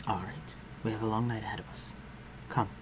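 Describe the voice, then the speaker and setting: A man playing a refined, protective vampire lord in an intimate audio roleplay, speaking formally and with measured command. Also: lowish voice, fairly even intonation, background noise, lightly acted. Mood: calm, guiding. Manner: formal, measured, archaic phrasing, dropping to breathy murmurs.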